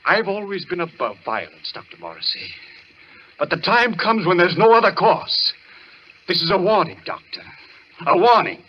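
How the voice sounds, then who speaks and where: An actor playing a deranged, embittered former surgeon held in an asylum, obsessive, repetitive and accusing, in an old radio thriller. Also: male voice, high-pitched, big pitch swings, normal speed. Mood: bitter, menacing. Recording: muffled, noisy vintage radio recording.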